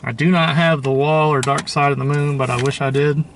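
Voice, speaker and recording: A man's voice: a little high, fairly even intonation, brisk, slight background noise. A man giving a casual, informal show-and-tell commentary, musing aloud and offering his opinions. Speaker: host showing a thrift store tape collection.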